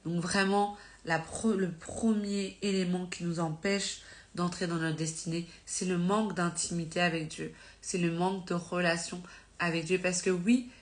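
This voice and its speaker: A woman with a somewhat low voice, a little congested from a cold, speaks to her live-stream viewers about faith. She talks in an earnest, encouraging, informal way and often corrects herself mid-sentence. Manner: conversational, with many fillers and self-corrections.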